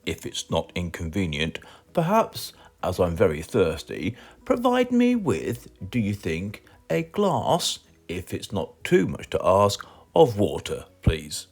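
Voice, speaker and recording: A man with a British accent voicing a fat, wordy storybook wizard, halting and over-polite. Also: sits low, wildly swooping pitch, a little mellow, faint music behind, exaggerated voice. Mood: dithering, polite. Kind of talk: children's storybook character.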